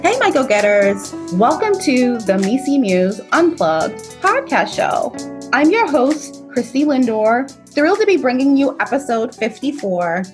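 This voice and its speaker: A woman's voice: high voice, big pitch swings, unhurried, music in the background. A woman hosting a podcast in an upbeat, enthusiastic way. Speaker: host.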